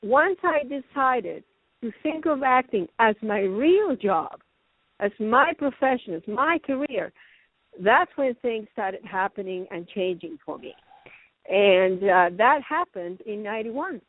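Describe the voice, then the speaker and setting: A woman's voice: a little high, animated, a little slow, no echo. A middle-aged woman raised in Venezuela and Canada, a guest actress chatting in an interview, rambling and laughing often. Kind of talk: casual interview chat. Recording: tinny phone line.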